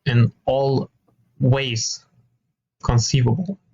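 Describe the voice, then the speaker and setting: A man from Croatia debating on a video chat, calm and confident, reeling off data with dry sarcasm. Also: medium pitch, very slow, gravelly, husky, lo-fi sound.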